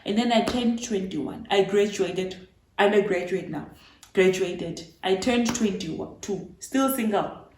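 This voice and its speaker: A woman in her twenties from Namibia talks casually to her viewers, animated and playful as she retells her own story. Her voice sits at a middle pitch. The recording carries a noticeable room echo. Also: takes it slowly, background noise. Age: 20s. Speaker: vlogger. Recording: echoey room.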